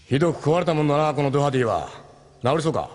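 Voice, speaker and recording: An actor playing a protective rebel team captain in a Japanese sci-fi audio drama, with measured, gruff masculine speech. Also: male voice, fairly high pitch, lively intonation, darkish tone, lightly acted. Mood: calm.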